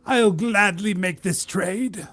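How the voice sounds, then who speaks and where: A man's voice: sits high, slow pace, fairly bright tone, full character voice. Man playing a pompous holy cult leader. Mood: imperious. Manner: pompous, formal. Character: imperious holy cult leader demanding tribute.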